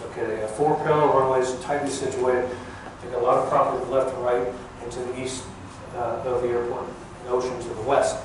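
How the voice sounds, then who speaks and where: An older man, a former air traffic controller, gives an informal talk in a plain-spoken, halting, folksy way. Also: mid-range voice, level tone. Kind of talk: informal public talk recounting career. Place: large echoey museum room. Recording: echoey hall, distant mic, clear background noise.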